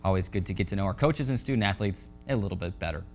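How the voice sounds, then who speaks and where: Man, sports show host, lowish pitch, halting delivery, music underneath. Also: darkish tone. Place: studio set.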